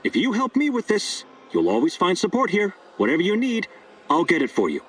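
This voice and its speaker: Scheming village doctor, raised pitch, animated, earnest, wheedling, video game, slightly distant sound. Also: male voice, brisk, husky, full character voice. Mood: persuasive. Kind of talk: video game dialogue acting.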